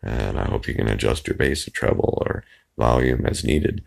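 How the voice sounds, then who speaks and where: A man with a hoarse, raspy voice, rambling casually into his phone's microphone and trailing off with plenty of fillers. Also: very deep voice, normal speed. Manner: meandering, trails off with many fillers. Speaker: video blogger. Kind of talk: rambling casual monologue to camera.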